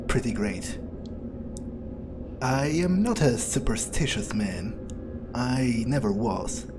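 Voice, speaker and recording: A man playing an arrogant, power-hungry vampire in a video game roleplay, musing aloud in a deliberate, improvised monologue. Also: lowish voice. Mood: smug, menacing, then resolute, scheming. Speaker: gameplay video creator role-playing in character. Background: music.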